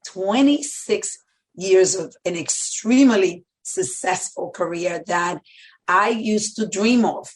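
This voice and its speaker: A middle-aged woman speaks in a mid-pitched voice with a Dominican Spanish accent. She tells personal stories as an interview guest, emphatically, often repeating a phrase to drive it home. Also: slow, rough recording. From Dominican Republic. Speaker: podcast guest, medical center executive.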